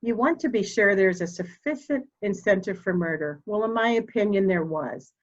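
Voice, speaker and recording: A woman's voice: medium pitch, close to an everyday voice. Woman acting a cold, blunt old mother, muffled sound. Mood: composed, candid. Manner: formal, deliberate, blunt. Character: proud, cold old mother, candid and slightly deaf. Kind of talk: dramatic reading of a murder mystery.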